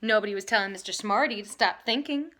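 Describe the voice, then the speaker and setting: A woman reading aloud to children in an expressive style, leaning dramatically on key words. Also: medium pitch, quick pace. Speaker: storybook reader.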